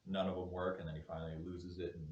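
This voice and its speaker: A man with a fairly low voice leads a table read, explaining the script and reading stage directions. He is recorded at a distance from the mic, with plenty of room echo. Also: flat delivery, quick pace, dull audio. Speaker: filmmaker leading a table read. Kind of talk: casual project briefing, then reading screen directions aloud. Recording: echoey room, distant mic, loud noise.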